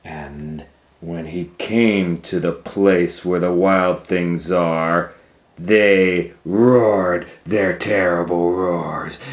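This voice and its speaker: A man reading a picture book aloud to children in a theatrical way, swinging between hushed tones and loud exclamations. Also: low-pitched. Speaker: storytime reader and educator. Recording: roomy echo, faint background noise.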